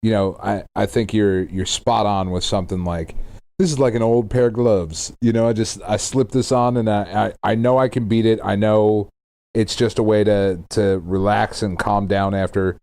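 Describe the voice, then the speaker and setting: A man's voice: on the low side, steady tone, normal speed, darkish tone. A middle-aged man co-hosting a podcast, talking casually about games. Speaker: podcast co-host. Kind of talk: casual gaming podcast chat.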